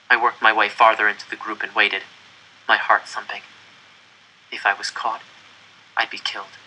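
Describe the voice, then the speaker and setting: A man reading a first-person story aloud in an expressive, deliberate, dramatic way. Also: mid-range voice, rough recording. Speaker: audiobook reader.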